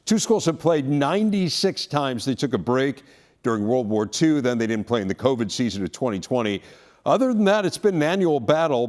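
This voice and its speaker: A man's voice: medium pitch, slight background noise. An American man presenting and interviewing as the host of a sports studio show.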